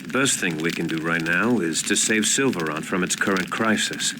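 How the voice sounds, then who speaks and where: A man voicing a stoic, pragmatic warrior in a fantasy video game, speaking gravely and formally. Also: sits low, normal speed, close to an everyday voice. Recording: noisy game ambience behind voice.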